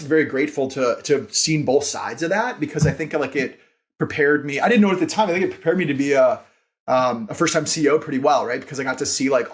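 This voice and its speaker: An American man in his thirties telling career stories in a casual interview, rambling and earnest, with "like" peppered through his sentences. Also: fairly high pitch. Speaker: podcast guest, company founder and CEO.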